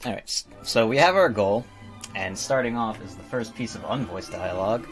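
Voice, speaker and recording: Low-voiced man giving casual game commentary. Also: expressive, moderate pace, husky, soft background music. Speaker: game streamer.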